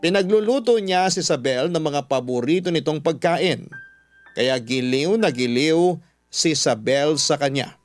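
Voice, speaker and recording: A Filipino man reads a scripted first-person story as a radio drama narrator. His voice sits a bit high. Music plays softly underneath. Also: expressive, unhurried.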